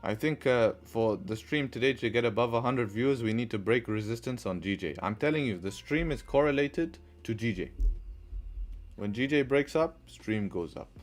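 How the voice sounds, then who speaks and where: A man's voice: mid-range voice, normal speed, soft background music. A man hosting a live trading stream, talking through charts and answering chat with repeated rhetorical questions, with a mixed, partly Arabic-sounding accent. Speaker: trading livestream host.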